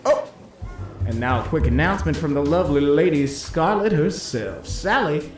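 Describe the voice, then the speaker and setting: An actor playing a slick, cheeky 1950s disc jockey who calls a bowling game with showy hepcat patter and drawn-out flourishes. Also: male voice, fairly high pitch, expressive, natural voice. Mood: wry, playful. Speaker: play-by-play announcer. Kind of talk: 1950s radio-style sports commentary. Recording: roomy echo, music underneath.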